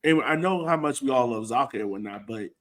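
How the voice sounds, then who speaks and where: An American man chats casually about sports as a podcast co-host. His talk is informal and slangy, with a mid-pitched voice, like fans going over a match together. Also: expressive, a little fast, a bit bright.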